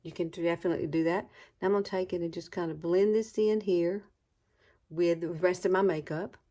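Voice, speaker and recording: A chatty woman, talking viewers through her makeup routine. Her voice sits a little low, and she drops in "girl" and "y'all" as she goes. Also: fairly even intonation, fairly quick, mellow. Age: 60s. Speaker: beauty vlogger. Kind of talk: casual makeup tutorial.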